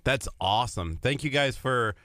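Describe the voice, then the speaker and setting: A man's voice: mid-range voice, expressive, moderate pace, bright, forceful tone, no room sound. A man co-hosting a live morning radio show in a studio, giving short agreeing replies, often repeating himself and laughing along. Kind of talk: casual live radio chat. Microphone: studio microphone.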